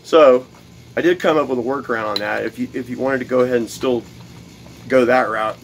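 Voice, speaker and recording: Man, DIY host at his workbench, plain mid-pitched voice, loose and rambling, faint shop hiss behind him. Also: a little fast, rough recording. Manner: rambling, repeats words, many fillers. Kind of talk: casual workshop how-to demonstration. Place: cluttered home workshop at a workbench.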